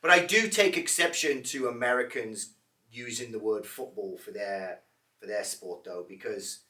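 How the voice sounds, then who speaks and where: A Scottish man with a lowish, bright, forward voice, trading deadpan jokes in a relaxed podcast chat. He sits back from the mic, so the room rings around his words. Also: normal speed, roomy sound, distant mic. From Scotland. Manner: dry, deadpan joking. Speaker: podcast co-host, shop owner. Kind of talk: casual podcast banter.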